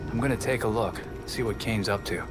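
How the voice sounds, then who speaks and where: An actor playing a wry young vigilante hero in a video game, informal and half talking to himself. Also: male voice, on the low side, brisk, close to an everyday voice. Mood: pensive, determined. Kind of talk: cinematic video game acting. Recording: loud game music behind.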